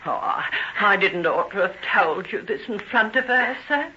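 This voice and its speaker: A muffled old radio recording of a humble, distressed mother. Her voice is bright and swoops about, deferential and pleading in a plain working-class manner. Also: mid-range voice, expressive, normal speed, a little hiss or hum. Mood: pleading, upset. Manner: deferential, tearful, folksy wording. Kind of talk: old-time radio drama acting. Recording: dull vintage radio recording.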